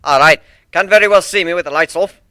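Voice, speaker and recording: Storybook minotaur, acted by a man: Scottish, boisterous and courtly, pitched up, bright and forceful. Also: quick pace, dry recording. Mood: gruff welcome. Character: cheery, hearty Scottish minotaur shipwright.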